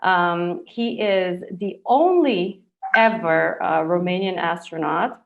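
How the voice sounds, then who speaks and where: A woman's voice: on the low side, expressive, slow, creaky. Enthusiastic woman hosting, slightly dull audio. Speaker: podcast host. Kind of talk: informal podcast interview.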